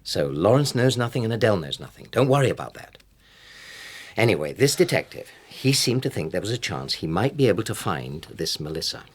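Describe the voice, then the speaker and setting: An actor playing a harassed middle-aged English husband in a naturalistic radio drama, insistent, defensive and exasperated. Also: male voice, lowish voice, big pitch swings, brisk, slightly rough. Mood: earnest.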